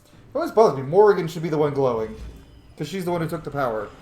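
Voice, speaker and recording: Casual male game streamer, opinionated and profane, lively intonation, echoey recording. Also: a little high, quick pace. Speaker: gaming streamer. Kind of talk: casual gameplay commentary. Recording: echoey room, faint background noise.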